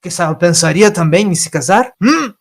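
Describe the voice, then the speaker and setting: A man voicing a furious old alien time traveler in an audio story, scolding with sarcastic, forceful questions. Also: high-pitched, lively intonation, moderate pace, vocal fry, pitched above the performer's own voice, heavily acted. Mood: accusing, indignant.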